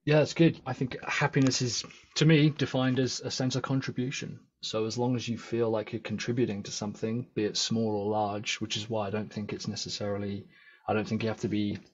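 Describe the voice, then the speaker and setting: A British man speaks earnestly in a casual podcast interview, thinking aloud and restarting his sentences. His voice is slightly husky, and the sound is muffled like remote call audio. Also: on the low side, flat delivery, a little fast. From England. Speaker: podcast guest, agency founder.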